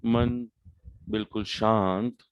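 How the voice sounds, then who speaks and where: A man with an Indian accent leading a guided meditation, calm and measured, with deliberate pauses between short phrases. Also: on the low side, smooth, dry recording.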